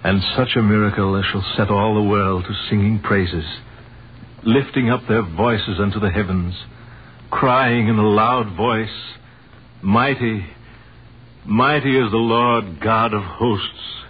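A man's voice: on the low side, takes it slowly, husky. An actor playing a devout Greek wise man in a 1930s radio drama, declaiming solemnly in archaic biblical speech. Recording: muffled, noisy 1930s radio recording.

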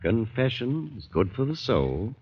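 A man's voice: mid-range voice, very animated, a little slow, breathy edge, full character voice. A man with a British accent playing a solicitous, probing English doctor and veteran in a genteel, coaxing way, in 1940s radio drama style. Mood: probing, persuasive. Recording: dull old radio drama recording.

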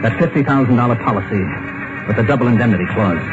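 A man's voice: mid-range voice, fast talker, lightly acted. A man playing a haunted, broke businessman. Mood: desperate. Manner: tense, haunted first-person narration. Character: anxious broke businessman, middle-aged husband. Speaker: narrator and lead actor. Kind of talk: 1950s radio drama acting. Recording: muffled old radio broadcast with music.

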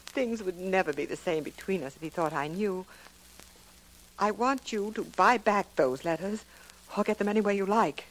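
A woman's voice: medium pitch, normal speed, lightly acted, low-quality recording. A woman playing a genteel, anxious wealthy wife in 1940s radio drama, earnest, formal and hesitant. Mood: anxious, pleading.

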